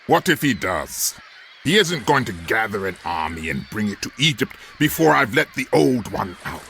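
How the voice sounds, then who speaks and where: Cyclops villain, gravelly edge, animated pitch, imperious, theatrical game acting, faint noise. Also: male voice, moderate pace, exaggerated voice. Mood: scornful. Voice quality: gritty, forceful. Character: male cyclops warlord villain, arrogant and threatening. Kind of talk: video game cutscene acting.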